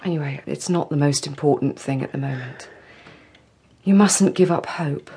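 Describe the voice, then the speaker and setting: Assertive lower-voiced British woman. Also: warm tone, husky, heavily acted. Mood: concerned. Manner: brisk, assertive. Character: Hattie, forthright, insistent woman solicitor friend. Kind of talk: naturalistic radio drama acting.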